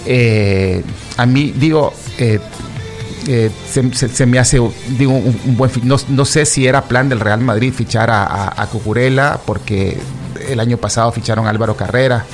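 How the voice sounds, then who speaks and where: A man's voice: mid-range voice, moderate pace, faint music behind. A man giving his football opinions to his regular listeners, rambling with restarts and fillers, calling them "chicos". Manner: rambling, repeats himself, many fillers and restarts. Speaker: football commentator. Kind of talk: casual opinion talk.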